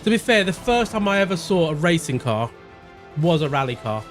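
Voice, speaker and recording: A man from Portugal streaming a racing game live, shouting and crying out during close racing moments, then chatting calmly with viewers. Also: a little high, a little fast, soft background music. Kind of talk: live gameplay commentary and chat reading.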